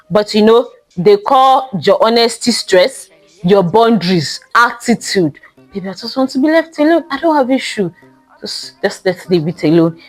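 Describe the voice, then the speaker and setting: A young woman hosting a casual monologue podcast, talking in a loud, emphatic way and drawing out the words she stresses. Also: medium pitch, low-quality recording. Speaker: podcast host.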